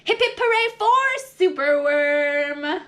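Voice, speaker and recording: A woman reading a rhyming children's storybook aloud as a preschool teacher, with dramatic, expressive, singsong delivery. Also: high voice, takes it slowly.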